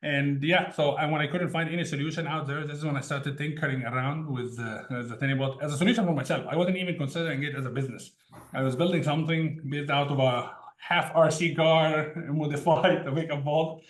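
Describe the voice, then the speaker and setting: A man's voice: a little high, fairly even intonation, fairly quick. A man from Egypt, a tech founder telling his story as an interview guest in an easygoing way, often laughing between sentences. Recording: roomy echo.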